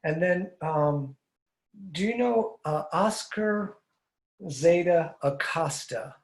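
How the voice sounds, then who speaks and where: An older man hosting a podcast, asking curious, rambling questions that often trail off, speaking into a studio mic on a boom arm while wearing headphones. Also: lively intonation, takes it slowly. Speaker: podcast host. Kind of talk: casual conversational interview. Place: room at home with blinds, indoors. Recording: roomy echoey sound.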